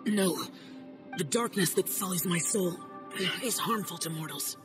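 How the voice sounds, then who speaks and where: A man's voice: high voice, measured pace, music in the background. An actor playing an aloof, brooding young male guardian who speaks in terse, grave lines.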